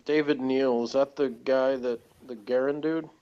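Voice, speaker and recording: A man chatting casually as a co-host about a TV show, in a rambling, halting way, on a dull lo-fi recording. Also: mid-range voice, steady tone, dark tone, clear, resonant tone. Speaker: podcast co-host. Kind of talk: casual TV episode discussion.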